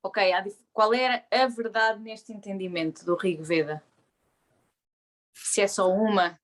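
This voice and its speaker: A woman's voice: mid-range voice, fairly even intonation, a little slow. A woman student, hesitantly asking her teacher a question in class. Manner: hesitant, searching for words.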